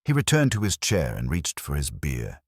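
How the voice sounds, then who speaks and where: A man reading a first-person detective story as scripted narration, at an even, unhurried pace. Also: a bass voice, slightly rough, no echo, studio quality.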